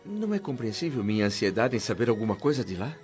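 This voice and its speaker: Man acting as a curious, animated Brazilian newcomer spirit. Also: fairly low pitch, fast. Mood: anxious curiosity. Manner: hesitant, inquisitive, polite. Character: anxious, curious male newcomer spirit, polite and homesick. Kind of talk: radio drama acting. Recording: dull lo-fi audio with music underneath.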